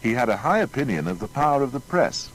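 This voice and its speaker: A man's voice: medium pitch, big pitch swings, fast talker, low-quality recording. A man narrating a scripted biography in a formal, emphatic storytelling manner. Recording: faint background noise.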